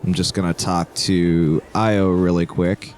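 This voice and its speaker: A man's voice: sits low, little pitch movement, a little slow, a little mellow, a little hiss or hum. A man co-hosting a let's play with casual joking commentary, playfully mocking and echoing phrases as he plays. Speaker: let's play co-host playing the game.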